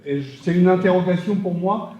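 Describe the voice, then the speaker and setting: A man's voice: high-pitched, moderate pace, dark tone. A man in the audience asking a long, rambling question from the floor, hesitating with many fillers. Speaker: audience member. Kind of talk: question from the floor at a public discussion. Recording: echoey, dull hall sound.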